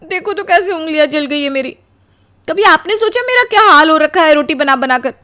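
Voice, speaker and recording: A woman's voice: sits high, animated, a little fast, sharp tone, pitched above the performer's own voice. A woman playing an exhausted, aggrieved young newlywed bride in a melodramatic cartoon story, plaintive and complaining. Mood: weary, plaintive.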